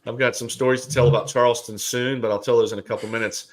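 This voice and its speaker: Chatty middle-aged host, joking. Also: male voice, medium pitch, steady tone, fast, low-quality recording. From Alabama. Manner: chatty, joking, many fillers. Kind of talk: casual chat.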